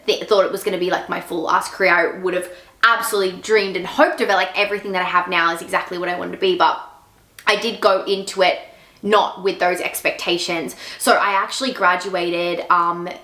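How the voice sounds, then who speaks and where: A woman's voice: on the low side, steady tone, a little fast, pushed and bright, echoey room. A woman, chatting casually to camera in a Q&A vlog, full of "like" and "um". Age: 20s. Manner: chatty, many fillers like "like" and "um". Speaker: fitness content creator.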